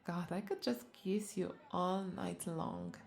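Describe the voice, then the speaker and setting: Flirty, creaky-voiced vampire bartender played by a woman. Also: on the low side, big pitch swings, slow, darkish tone, exaggerated voice. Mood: seductive, teasing. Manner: flirtatious, teasing, breathy asides dropping into whispers. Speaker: audio roleplay voice performer. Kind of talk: intimate scripted audio roleplay.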